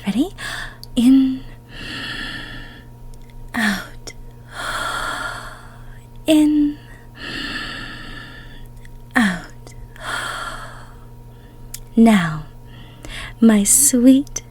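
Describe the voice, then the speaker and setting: A woman playing a tender, emotional goddess of love in an intimate roleplay audio, cooing soothingly in a breathy, near-whispered voice. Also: a little high, dark tone, full character voice. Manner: soothing, cooing, coddling with pet names.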